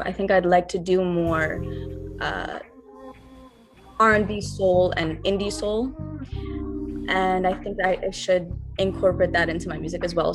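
A young woman, an R&B singer-songwriter, answering interview questions in a thoughtful, earnest way, with many fillers and restarts. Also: fairly low pitch.

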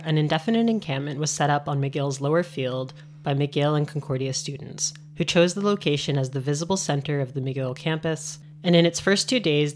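A woman reads scripted radio narration in an even, informative way. Her voice is low, and a faint hum sits under the recording.

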